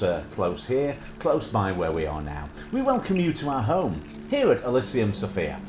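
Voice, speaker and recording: Nasal man, lively rambling host. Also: medium pitch, echoey room. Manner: rambling with frequent filler hesitations. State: nasal from sinusitis. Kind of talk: casual livestream chat.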